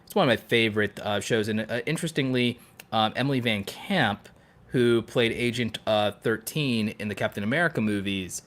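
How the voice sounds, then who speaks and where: A man with a fairly low voice co-hosts a casual podcast. He talks in a rambling, enthusiastic way, gushing as he goes along in loose conversational phrases. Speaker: podcast co-host.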